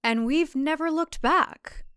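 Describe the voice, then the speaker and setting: A woman's voice: high voice, takes it slowly, fairly bright tone, no room sound, pitched above the performer's own voice. Woman playing a folksy, pushy husband, boastful; dry close read. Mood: confiding. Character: smug, overly familiar male farm husband.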